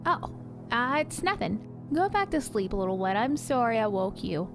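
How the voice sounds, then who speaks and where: A woman's voice: fairly high pitch, big pitch swings, moderate pace. A woman playing a night nurse, scolding yet caring, in a horror audio drama. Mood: soothing, sheepish. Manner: stern scolding turning soft. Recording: loud music behind the voice.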